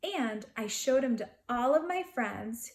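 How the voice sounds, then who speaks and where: A woman reading a picture book aloud to schoolchildren in an expressive storytelling manner, with dramatic pauses. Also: on the high side. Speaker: school counselor reading to students.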